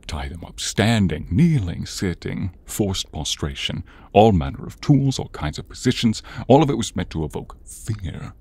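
A man playing an exasperated, menacing horned demon in an ASMR roleplay, theatrical and grumbling, with a husky, breathy voice. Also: low voice, very animated, moderate pace, few pauses, a little mellow, no room sound, exaggerated voice. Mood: weary, brooding.

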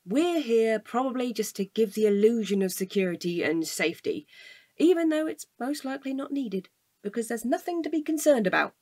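A woman acting a weary, cautious night-watch guard in a naturalistic audio drama, with a husky, measured, deadpan delivery. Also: fairly high pitch, no room sound. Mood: dry, matter-of-fact.

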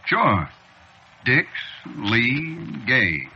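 A sly, easygoing bartender in an old radio thriller, chatting in folksy, loose grammar with a lively rise and fall. The broadcast sound is dull and lo-fi, with a faint hiss behind him. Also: male voice, mid-range voice, extremely slow. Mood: sly, chatty. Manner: folksy, colloquial grammar, chuckling. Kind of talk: 1940s radio drama acting.

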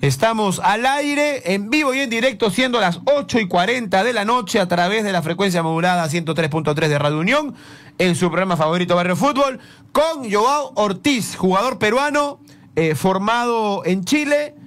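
Male sports radio host, high voice, chatty and meandering. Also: moderate pace. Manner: lively, rambling questions with many restarts. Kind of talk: live radio sports interview. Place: radio studio.

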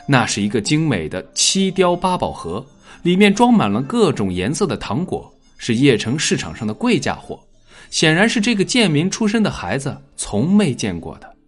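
A man narrating a dramatic scripted story in a measured, expressive storytelling manner. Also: fairly high pitch, faint music behind. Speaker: audiobook narrator.

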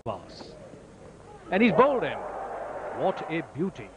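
A man giving ball-by-ball cricket commentary, lifting his voice sharply when wickets fall. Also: fairly high pitch. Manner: excited rises on wickets, measured otherwise. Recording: noisy old broadcast tape.

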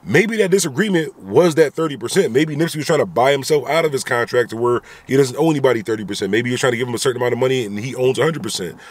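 Fast-talking husky man vlogging in a car. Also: medium pitch, no room sound. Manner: animated, repetitive, rhetorical "right?" asides. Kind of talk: opinion commentary vlog. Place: inside a parked car. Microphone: phone camera at arm's length.

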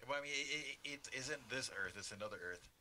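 A man's voice: mid-range voice, fast. A man improvising roleplay banter in an online game, rambling playfully. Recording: noisy game voice chat with clicks.

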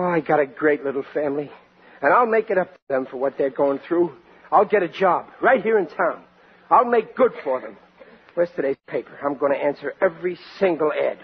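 High-pitched blustering working-class family man. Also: husky. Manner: blustering, plaintive, colloquial. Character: Riley, excitable, dim, sentimental working-class family man. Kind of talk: 1940s radio sitcom acting. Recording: noisy, dull 1940s radio recording with audience.

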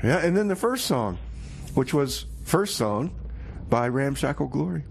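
A man playing a glum, self-pitying, hapless radio DJ who talks in a halting, dejected, repetitive way on a comedy music show. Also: medium pitch, very animated, vocal fry, a little hiss or hum. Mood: flustered, gloomy. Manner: halting, dejected, sighing, repeating himself. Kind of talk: comedy radio theater DJ patter.

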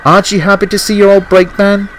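A man's voice: mid-range voice, very animated, normal speed, a little hiss or hum, pitched above the performer's own voice, natural voice, rough recording. A man voicing a kind-hearted, eager steam engine in a children's storybook. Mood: gleeful. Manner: eager, well-meaning.

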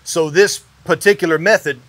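A man's voice: fairly high pitch, steady tone, normal speed, fairly bright tone, no echo. A man in his forties presenting an explainer, asking leading questions and summing up the answers. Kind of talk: guided explainer conversation. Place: indoors in a metal-walled barn or shop.

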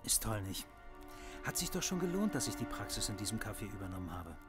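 Man acting an animated, breezy family dad. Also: on the low side, fast, music in the background. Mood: enthusiastic. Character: enthusiastic, breezy family father. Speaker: voice actor in audio drama. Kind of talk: acted horror audio drama dialogue.

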